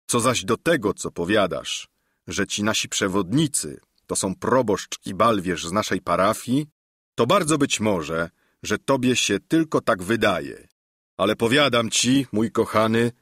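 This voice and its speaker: A man voicing a deluded, solemn old knight-errant who speaks in a grave, lofty, measured way. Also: on the low side, a bit bright, slightly rough, no room sound, clean studio sound, heavily acted.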